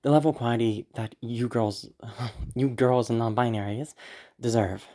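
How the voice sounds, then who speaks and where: An audio creator chats informally to listeners in a mid-pitched voice. The delivery is rambling and hesitant, anxious and apologetic, with casual swearing. The throat sounds tired and sore from being sick. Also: steady tone, moderate pace, dark tone.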